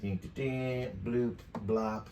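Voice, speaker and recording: A man in his fifties giving a casual, off-the-cuff software demonstration, jokey and slangy, with plenty of swearing. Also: medium pitch, fairly even intonation, slow. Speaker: tutorial presenter. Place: indoor home studio at a desk. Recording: roomy, distant mic, clear background noise.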